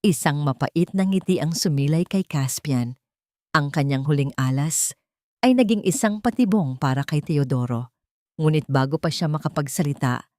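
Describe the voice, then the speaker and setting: A woman storyteller with a fairly low voice reads a scripted romantic drama. Her delivery is solemn and melodramatic. The sound is close and dry. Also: dark tone, no echo. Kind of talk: scripted dramatic Tagalog love-story narration.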